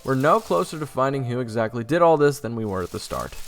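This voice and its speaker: A man's voice: mid-range voice, expressive, fast talker, gravelly, faint music behind. A man playing an earnest, easily exasperated young swordsman in a fantasy audio roleplay. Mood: discouraged.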